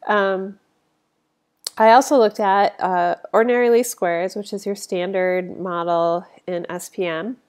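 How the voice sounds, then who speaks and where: A woman's voice: medium pitch, steady tone, measured pace, clean tone. A woman with a slight cold, sounding sniffly, narrating a statistics lecture over slides in an informal, conversational way with frequent fillers.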